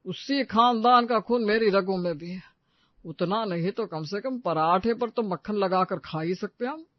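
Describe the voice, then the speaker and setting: A man acts out an older Indian grandfather in a cartoon family tale. His voice is high for a man, stubborn and full of grumbles. The recording is very dry and a bit muffled. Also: moderate pace, no room sound, lightly acted. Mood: indignant, boastful. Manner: complaining, stubborn.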